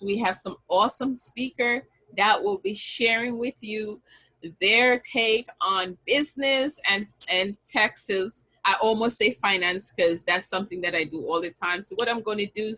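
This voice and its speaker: A woman's voice: mid-range voice, pushed and bright, low-quality recording. A middle-aged woman with a Jamaican accent, hosting an online summit in a chatty, upbeat way and telling personal stories. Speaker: summit host. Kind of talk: casual online event introduction and storytelling.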